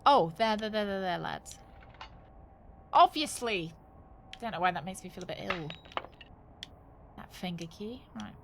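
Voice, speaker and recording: A woman's voice: mid-range voice, lively intonation, measured pace, long pauses, a bit bright, slight background noise. A woman chatting casually as she streams a game, thinking aloud with wry, joking asides. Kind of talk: casual live gaming commentary.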